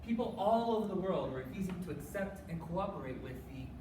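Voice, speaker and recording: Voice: medium pitch, lively intonation, fairly quick. A performer giving a rehearsed, dramatic spoken-word recitation in a declamatory, emphatic way. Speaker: reader's theater performer. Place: live competition performance in a room. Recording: roomy, distant mic, noisy room.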